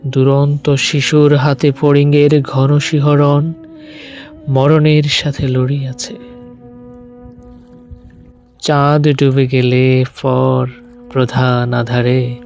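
A man reciting poetry in a solemn, dramatic style, deliberately, with weighted pauses between short phrases. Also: mid-range voice, monotone, darkish tone. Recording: music bed under the voice. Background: music.

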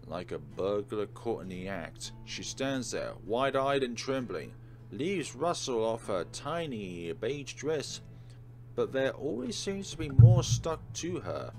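A man hosting a let's play, reading a visual novel aloud dramatically with casual commentary. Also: medium pitch. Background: game music.

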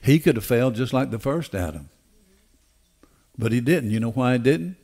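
An older man preaching a sermon in an emphatic, deliberate way, repeating phrases for weight. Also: medium pitch, animated, darkish tone. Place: church auditorium stage. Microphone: headset.

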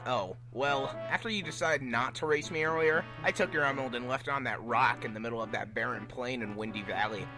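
A man's voice: medium pitch, a little fast, few pauses, music in the background, voice pushed down, lightly acted, rough recording. A man voicing a cocky young male hedgehog speedster, flippant and taunting, in an amateur fan fiction reading. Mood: playing dumb.

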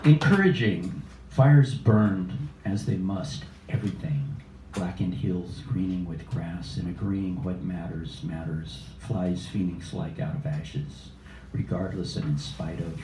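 Older man, low creaky voice, open-mic poet, deliberate delivery, roomy cafe recording. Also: a little mellow. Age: 60s. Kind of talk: live poetry reading with casual asides. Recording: roomy, distant cafe live stream with noise.

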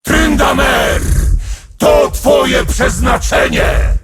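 An actor voicing a bloodthirsty ancient male demon warrior, shouting taunts at his foes in a video game. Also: extremely high pitch, steady tone, very slow, faint music behind, some chatter behind, full character voice. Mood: menacing. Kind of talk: video game character voice lines.

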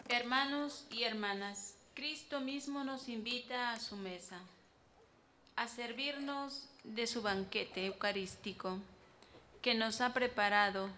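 A woman speaks slowly and solemnly, guiding the congregation at an outdoor mass. Her voice is bright and sits a little high. There is a faint hiss of noise behind her. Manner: slow, solemn, measured. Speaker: mass commentator. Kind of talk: liturgical announcement.

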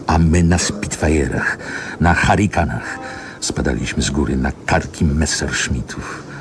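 An actor playing a nostalgic narrator in a radio play, measured, lyrical and reflective. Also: male voice, very deep voice, background noise. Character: male narrator, nostalgically recalling a Warsaw boyhood. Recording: music behind the narration.

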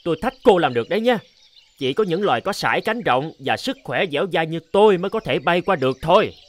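A man's voice: fairly high pitch, lively intonation, quick pace, natural voice. A man voicing a boastful, scornful, scheming crow in a children's cartoon, with a smug, theatrical delivery.

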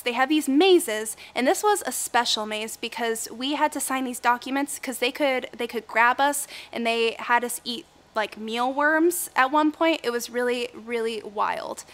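A young woman, a kids' church teacher, telling a personal story to children in a chatty, animated way, filmed indoors on camera. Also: a little high. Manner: chatty and animated, talks with her hands. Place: indoor room with a window, filmed set.

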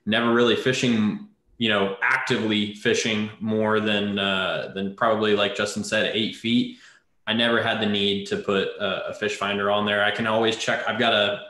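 Bright-voiced young man in echoey room. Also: on the low side. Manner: relaxed, rambling explaining. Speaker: fishing coach. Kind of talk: casual panel chat. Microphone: video call.

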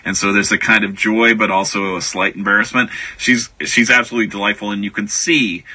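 A man speaking as an author guest in a conversational radio interview, explaining things in a measured way. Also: lowish voice, little pitch movement, bright, forceful tone, slightly rough.

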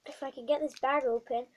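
A young girl with a high, croaky voice from a bad cold, speaking slowly and rambling as she chats through a craft tutorial. She records in a small, dim bedroom on a webcam mic, with faint noise in the background. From not American. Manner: chatty, rambling with many ums and uhs. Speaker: craft vlogger. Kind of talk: casual craft tutorial vlog.

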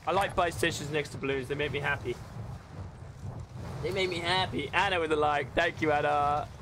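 A British man with a high-pitched voice streams a game and chats casually to his viewers. His banter is crude and full of swearing and jokes. The recording has clear noise behind his voice. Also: steady tone, fast talker. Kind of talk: casual stream chat while gaming.

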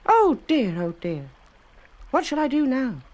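A man's voice: extremely high pitch, wildly swooping pitch, measured pace, a little mellow, voice pushed up, heavily acted. A man voicing a tiny, cheeky gingerbread boy in a children's storybook, calling out in a sing-song way. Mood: worried.

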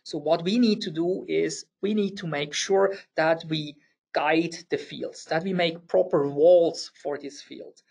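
Swiss German male presenter, gravelly husky voice, patient technical explainer. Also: on the high side, fairly even intonation, darkish tone. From Switzerland. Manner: explanatory, slightly halting with fillers and restarts. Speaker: webinar presenter.

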